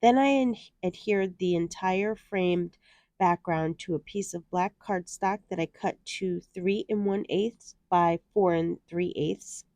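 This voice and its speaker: A woman's voice: fairly low pitch, measured pace, warm tone, no echo. A woman hosting a card-making tutorial, explaining each step in a cheerful, chatty way, sounding nasal from a head cold. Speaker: card-making channel host. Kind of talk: crafting tutorial narration.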